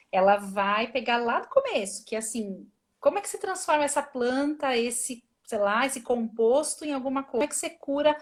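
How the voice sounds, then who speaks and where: A woman translator, a guest on a live video stream, talking casually and thinking aloud with many restarts. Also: on the high side. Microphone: phone or laptop mic on a video call.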